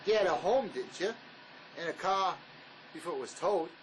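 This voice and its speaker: A man's voice: high-pitched, lively intonation, moderate pace, rough recording. An actor playing a cynical, impatient male ex-con in a drama, with a curt, needling, tough-talking manner. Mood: cynical, uneasy. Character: hard-edged, impatient ex-con man, cynical and resourceful. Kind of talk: dramatic script performance. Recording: faint background noise.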